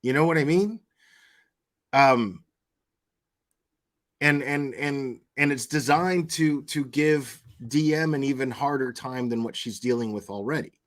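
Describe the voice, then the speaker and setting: A man's voice: mid-range voice, a little slow, pauses often. A man giving opinionated, off-the-cuff online commentary, repeating words for emphasis and often checking in with the listener. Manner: emphatic, checks with "okay?" and "right?". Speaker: commentary vlogger.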